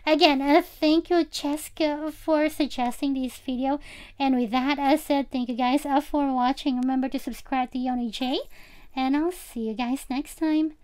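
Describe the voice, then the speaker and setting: A woman's voice: high-pitched, fairly even intonation, moderate pace, a little mellow. A woman giving a casual, chatty game tour as a channel host, speaking directly to viewers.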